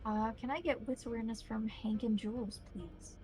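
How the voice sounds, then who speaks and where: A woman's voice: fairly high pitch, fairly even intonation, normal speed, darkish tone, faint music behind, poor audio. A woman running a tabletop roleplaying game as game master, narrating scenes and calling for rolls.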